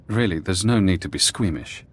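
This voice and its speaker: A captive former spy in a sci-fi game. His voice sits very low. He talks with calm, clinical precision and a dry, matter-of-fact delivery. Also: normal speed, breathy edge. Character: calm, clinical imprisoned ex-operative with mental conditioning. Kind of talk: video game voice acting.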